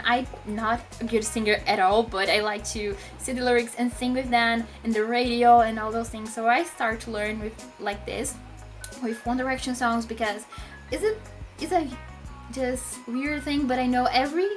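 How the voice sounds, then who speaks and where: Eager Brazilian woman, high bright voice. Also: fairly even intonation, soft background music. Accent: Brazilian Portuguese. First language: Portuguese. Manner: eager, fast bursts with many fillers and restarts. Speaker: vlogger. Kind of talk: casual personal story to camera.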